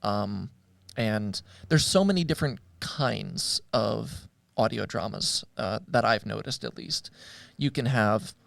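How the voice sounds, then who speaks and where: A man hosting a podcast in a casual, conversational way, with halting, hesitant phrasing. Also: on the low side, expressive, creaky, a bit bright, no echo, clean studio sound. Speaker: podcast host.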